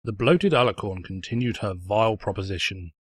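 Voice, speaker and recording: A man's voice: on the low side, animated, a little fast, slightly rough, dry recording. A man reading fan fiction aloud as scripted story narration, in a pompous, snide storyteller's manner.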